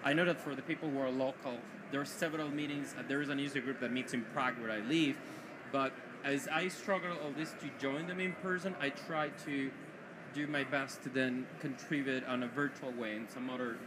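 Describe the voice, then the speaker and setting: A man's voice: medium pitch, steady tone, brisk. Man, panel chat. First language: Spanish. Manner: hesitant, searching for words. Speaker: panel guest. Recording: noisy conference stream.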